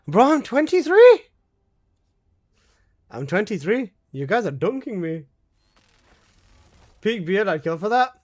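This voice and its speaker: Lively, high-voiced young man streaming. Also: slow, pauses often. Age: 20s. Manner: swearing, joking, reading out chat. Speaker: game streamer. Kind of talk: casual chat with live chat.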